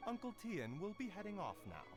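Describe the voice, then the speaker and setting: An actor playing a calm, reassuring male doctor in a video game, with a measured, soothing manner. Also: sits high, music in the background.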